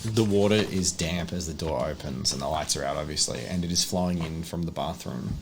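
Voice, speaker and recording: Deep, gravelly male game master. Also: a little fast, noisy background. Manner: vivid descriptive narration mixed with casual rules talk. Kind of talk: narrating a horror tabletop roleplay game.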